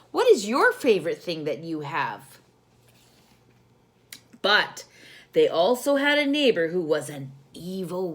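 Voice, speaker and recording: A woman reads a storybook to young children at a leisurely pace. Her intonation swings widely, and she is expressive and teacherly, turning to the listeners with questions as she goes. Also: medium pitch. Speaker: storyteller reading a picture book to children.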